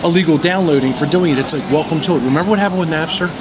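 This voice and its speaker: A loud, boisterous older man co-hosting a casual chat, blunt and in-your-face, recorded outdoors at a convention with noise behind him. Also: on the high side, very fast. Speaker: podcast co-host.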